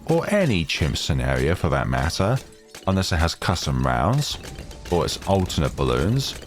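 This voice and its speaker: A young man chatting casually over his gameplay in a rambling, self-deprecating way, his voice still deeper than usual as he recovers from a chest infection, recorded on a home computer microphone. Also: a little hiss or hum. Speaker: gaming channel host.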